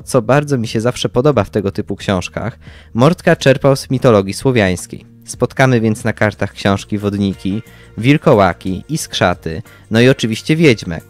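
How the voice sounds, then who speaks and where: A young male podcast host reviews books from prepared text. His voice is of medium pitch, with faint music behind it. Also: a little mellow. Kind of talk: scripted book review.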